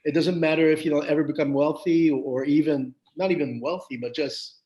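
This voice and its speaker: Interview guest, French Canadian man, faint Quebec accent; animated storyteller with many restarts. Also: on the high side, brisk, muffled recording. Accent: slight Quebec French. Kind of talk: casual interview conversation.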